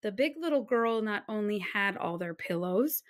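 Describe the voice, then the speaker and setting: A woman reading a children's storybook aloud, narrating in an expressive, deliberate manner. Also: mid-range voice. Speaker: storybook reader.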